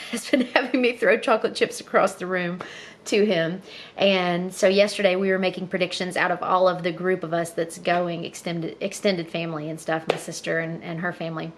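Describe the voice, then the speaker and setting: A woman in her thirties chatting casually to the camera for her daily vlog, her voice a bit low and congested, rambling with fillers. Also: a little hiss or hum. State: slightly husky, congested voice. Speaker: vlogger.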